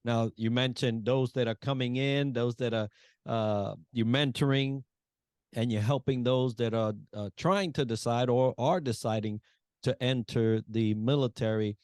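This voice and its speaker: A man hosting a podcast, asking his guest questions in a measured way with frequent fillers. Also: medium pitch, steady tone, clean tone, dry recording, studio quality. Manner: measured, hesitant with frequent fillers, warmly appreciative. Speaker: podcast host. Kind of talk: interviewing a guest.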